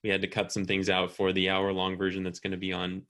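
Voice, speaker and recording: A man who makes documentaries, speaking haltingly with many pauses and restarts on a video call. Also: low voice, completely flat delivery, sharp tone. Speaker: documentary filmmaker. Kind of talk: conversational panel answer.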